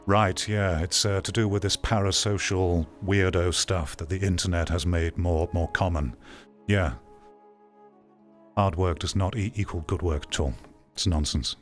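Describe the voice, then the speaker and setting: A man's voice: low voice, fairly even intonation, a little slow, soft background music. A man giving casual reaction commentary in a blunt, exasperated way, repeating phrases for emphasis. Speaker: host giving commentary on game news.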